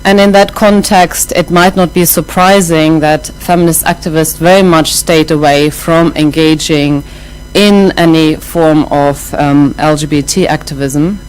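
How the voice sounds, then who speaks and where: A middle-aged woman with a German accent giving a lecture, thoughtful and hesitant, with many pauses and restarts. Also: low-pitched, fairly even intonation, a little hiss or hum, poor audio. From half German, half Iraqi. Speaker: academic speaker.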